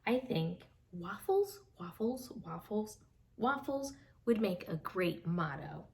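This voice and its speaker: Lady doing a silly narwhal guy, singsongy and dreamy, swoops high and low. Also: animated, slow pace, gravelly, a little hiss or hum, full character voice. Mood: cheerful. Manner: sing-song, dreamy. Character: cheerful, goofy young male narwhal.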